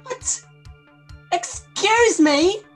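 A woman's voice: extremely high pitch, lively intonation, very slow, faint music behind. A woman contestant on a video call, sassy and drawn out in her delivery. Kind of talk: casual chat on a video call.

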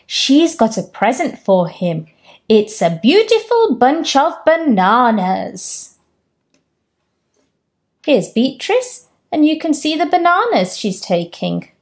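A woman in her thirties reading a children's picture book aloud at home, gently and expressively, lifting her voice for the characters' lines. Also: slow pace. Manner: gentle, expressive storytelling with raised-pitch character quotes. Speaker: storytime host. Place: home room with bookshelves, indoors.

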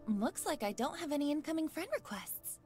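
A cool young office temp played by a woman in a visual novel. Her high voice is curt and sharp-tongued, with varied pitch and music behind it. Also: lively intonation, a little fast. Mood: politely evasive. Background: game music.

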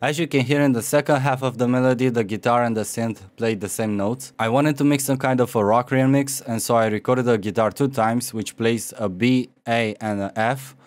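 A man's voice: medium pitch, a little fast, hardly any pauses. Romanian accent guy in his 20s, chill home studio vlog, bit of music behind. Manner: relaxed, explanatory, self-mocking. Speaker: music producer. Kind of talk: casual tutorial vlog. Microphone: studio mic on stand.

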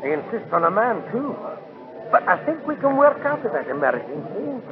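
An actor playing a ruthless, commanding island tyrant in a theatrical 1940s radio drama style. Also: male voice, high-pitched, very animated, normal speed. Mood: menacing. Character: ruthless male island tyrant posing as a god's disciple. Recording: noisy, tinny 1940s radio recording.

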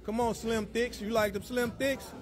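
A man's voice: very high-pitched, fairly even intonation, moderate pace, a crowd in the background. A man in his forties filming in a large indoor gym on a handheld phone, hyping lifters with chanted catchphrases and counting reps. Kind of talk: hype commentary on a workout. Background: loud music.

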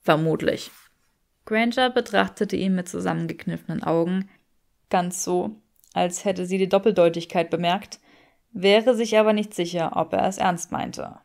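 A woman narrates a scripted story as an audiobook. Her voice is slightly low for a woman, with a clearly creaky edge. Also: normal speed, a little mellow.

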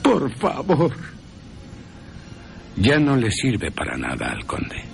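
A man's voice: deep voice, huge pitch swings, measured pace, creaky. A man narrating a horror radio drama in a theatrical way, with wry asides.

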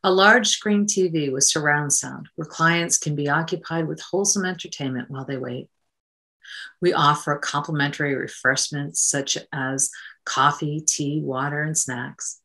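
A middle-aged woman hosting in a measured, formal way, as if presenting from a script. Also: low voice, bright, forceful tone. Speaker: host, counsellor.

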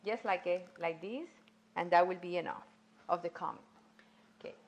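A middle-aged woman with a Cuban accent, a guest cook demonstrating a recipe in a home kitchen, speaking haltingly with many pauses. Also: on the low side. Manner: halting, many ums, non-native phrasing. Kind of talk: cooking demonstration.

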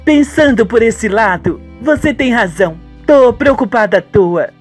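Radio soap role: tender young girlfriend, cooing and gushing in a high, lilting voice, played by a man. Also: expressive, a little slow, faint music behind, heavily acted. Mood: affectionate. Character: affectionate young woman in love, soap opera.

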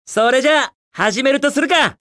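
A man's voice: very high voice, normal speed, pushed and bright, smooth, dry recording, clean studio sound. A man voicing a cocky, carefree young hedgehog hero in a video game, with breezy, confident, slangy delivery. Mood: excited, eager.

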